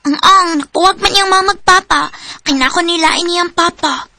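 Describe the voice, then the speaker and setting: A woman's voice: extremely high pitch, moderate pace, sharp tone, a little hiss or hum, full character voice. A young woman playing a caring college student in a radio drama, chatting with sympathy. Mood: chatty. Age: young adult.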